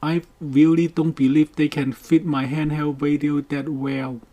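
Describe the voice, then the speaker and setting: A man delivers a scripted how-to narration with a slow, careful pace. His voice sits a little high for a man and has a soft, mellow tone. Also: level tone. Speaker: DIY boat project presenter.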